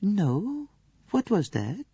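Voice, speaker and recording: A man putting on a kindly, pious Swedish lady with a Swedish accent, her voice raised well above a man's and a little breathy. She speaks softly, earnestly and with careful formality. The recording is dry and dull. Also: high voice, wildly swooping pitch, extremely slow, no echo, voice pushed up, full character voice. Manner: soft, earnest, precise formal phrasing. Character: kindly pious middle-aged Swedish woman, gentle.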